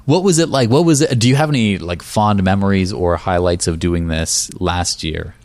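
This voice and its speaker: Very low, dry-sounding radio man. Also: moderate pace, a little mellow, dry recording. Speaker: radio interviewer and host. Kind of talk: radio interview and presenting.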